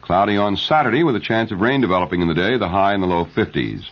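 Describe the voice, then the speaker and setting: A man reading news and ads as a radio newscaster in a measured 1970s announcer style. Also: low-pitched, animated. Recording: dull, lo-fi 1970s radio broadcast recording.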